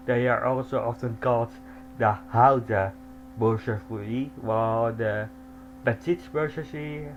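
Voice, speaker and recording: A man hosting a casual online call, explaining things slowly with halting restarts. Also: medium pitch, lo-fi sound. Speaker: host. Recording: faint mains hum behind.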